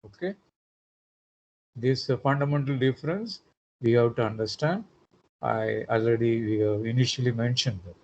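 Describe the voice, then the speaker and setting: A man giving an online lecture, pausing often on "uh" and asking rhetorical tag questions. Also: medium pitch, dull audio, a little hiss or hum.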